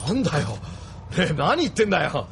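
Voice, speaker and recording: A man's voice: on the high side, sing-song, normal speed, vocal fry, a bit bright, a little hiss or hum, full character voice. An actor playing a cocky, flippant young male mech pilot who talks like a coarse punk in an anime audio drama. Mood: defensive, irritated. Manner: coarse, slangy, offhand speech.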